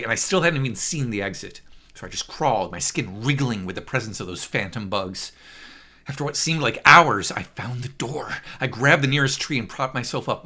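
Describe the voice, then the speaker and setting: A man's voice: mid-range voice, sharp tone. A man narrating a first-person horror story with an intense, theatrical delivery.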